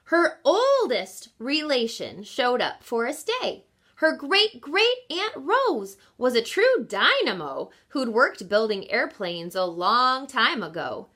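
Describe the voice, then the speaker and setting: A woman reading a children's picture book aloud as a teacher, expressively and with deliberate emphasis, speaking in an encouraging way as if to young listeners. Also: a little high, pushed and bright. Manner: encouraging, addresses children with questions and explanations.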